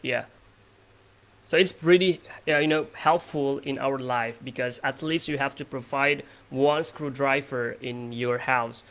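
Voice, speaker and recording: A young man from Indonesia in his twenties, with a gravelly voice and a middle pitch. He hosts a casual English speaking practice over a video call. He talks in a relaxed way and uses lots of fillers. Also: a little slow. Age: 20s.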